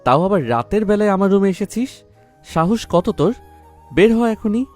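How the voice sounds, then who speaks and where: Gruff, hot-tempered young man, played by a male actor, highish male pitch, barking orders in an audio romance over music. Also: animated, normal speed, a little mellow. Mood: angry, scolding. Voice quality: gruff, harsh. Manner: curt, commanding, insulting. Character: angry, stubborn, domineering young man scolding a girl. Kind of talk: dramatised audio love story. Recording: background music under the voice.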